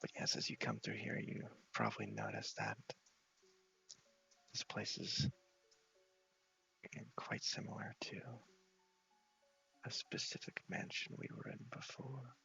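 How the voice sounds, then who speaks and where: A man with a low, crackly voice softly narrates a video game in ASMR style, often half-whispering. The sound is a little muffled, with music faintly underneath. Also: wildly swooping pitch, unhurried, creaky, pauses often, slightly rough. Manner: soft-spoken, half-whispered. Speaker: host of a gaming series.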